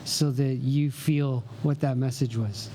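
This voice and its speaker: A man's voice: medium pitch, steady tone, measured pace, warm tone, a little hiss or hum. A man chatting casually as a singer-songwriter guest in an interview, with a laid-back, modest manner.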